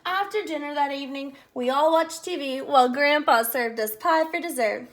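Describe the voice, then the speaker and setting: Very high-pitched woman reading a children's storybook. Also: moderate pace. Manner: addresses young listeners directly with questions. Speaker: read-aloud host, storyteller. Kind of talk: expressive children's storybook read-aloud.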